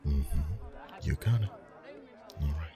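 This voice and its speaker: A man playing a friendly, chatty festival face painter in an ASMR roleplay, soft-spoken, reassuring and gently flirtatious. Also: a bass voice, animated, slow pace, over music, crowd noise, exaggerated voice. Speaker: voice actor.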